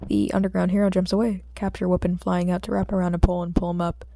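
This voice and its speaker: A woman's voice: fairly low pitch, level tone, fast, vocal fry, warm tone. A woman with a sore throat, speaking as an amateur audiobook reader in an informal, homemade style. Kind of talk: story narration and casual chat with listeners.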